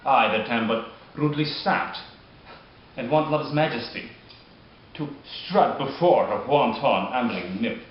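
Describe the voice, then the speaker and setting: A man performing a bitter, scheming deformed nobleman in a modern-style Shakespeare monologue, speaking deliberately with dramatic pauses. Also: medium pitch, close to an everyday voice. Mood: bitter, self-loathing. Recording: dull, very echoey room, background hiss.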